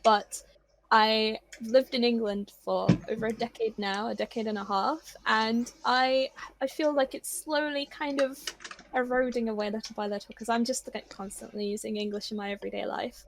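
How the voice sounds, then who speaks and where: A woman with a slightly high voice, Polish her first language, talking casually on a podcast call. She is a little shy and rambles, circling back with fillers and restarts. A faint hiss sits under the line. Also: steady tone, normal speed. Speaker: streamer guest.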